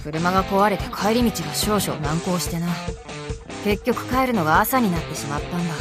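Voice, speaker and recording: A woman plays a worldly female teacher in an anime-style visual novel. She talks very fast with blunt, casual, tomboyish phrasing, over clearly heard guitar music. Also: on the low side, lightly acted. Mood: composed, teasing. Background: guitar game music.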